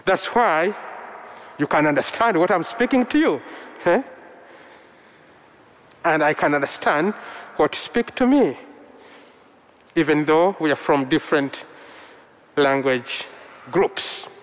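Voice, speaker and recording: A man from Uganda whose first language is Luganda, preaching a sermon in slow, measured short phrases. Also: fairly high pitch, lively intonation. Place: church. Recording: long reverb of a large hall.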